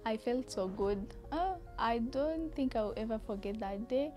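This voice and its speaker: A woman's voice: on the high side, expressive, measured pace, dark tone, soft background music. Reflective young woman. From Rwanda. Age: young adult. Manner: reflective, with frequent hesitation fillers. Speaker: coding student. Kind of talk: interview testimonial. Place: outdoor terrace. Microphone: lapel mic.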